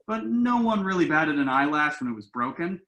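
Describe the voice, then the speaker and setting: A man's voice: a little high, very animated, a little fast, pushed and bright, heavily acted. A man acting a self-assured, glib son who earnestly explains his schemes in a table-read play, recorded in an echoing room. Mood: earnest, confident. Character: glib, enterprising grown son pitching shady business schemes. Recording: roomy, echoing home recording.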